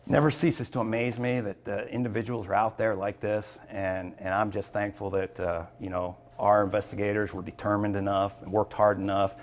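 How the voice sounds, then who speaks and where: An American man giving an interview as an official, speaking in a halting, measured way. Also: on the low side, level tone. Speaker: law enforcement official. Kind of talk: interview soundbite.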